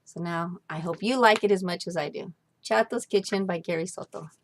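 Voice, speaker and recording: A woman narrating a children's storybook expressively, with deliberate, drawn-out emphasis on key words. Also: on the low side, a little hiss or hum. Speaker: storybook reader.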